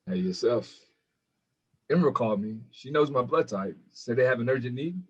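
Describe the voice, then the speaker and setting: A man's voice: medium pitch, expressive, moderate pace, slightly rough. A man acting an easygoing, good-hearted young hospital volunteer with casual banter in a screenplay table read, on dull audio. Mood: affectionate teasing.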